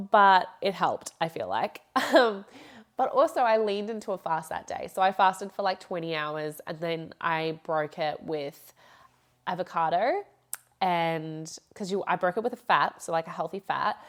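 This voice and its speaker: A young woman hosting a podcast, talking casually and rambling with frequent laughs, her voice nasal from a blocked nose. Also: on the low side. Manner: chatty, rambling, laughs often. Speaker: podcast host. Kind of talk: casual solo podcast talk.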